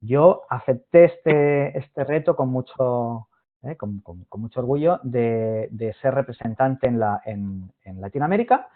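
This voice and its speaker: A man's voice: mid-range voice, expressive, unhurried. A man giving a hesitant online presentation, full of fillers and restarts. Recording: tinny phone-like line.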